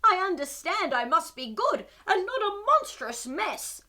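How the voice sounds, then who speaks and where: Storybook trash monster, played by a woman: boastful, jolly male creature, slow sing-song declarations with big pitch swings. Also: sing-song, bright, forceful tone, exaggerated voice. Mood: proud, repentant.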